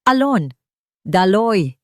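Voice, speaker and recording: A woman's voice: medium pitch, sing-song, very slow, dry recording, studio quality, voice pushed up. A woman voicing an anguished, remorseful father in an audiobook drama, pleading. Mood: alarmed shouting. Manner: pleading, emotional.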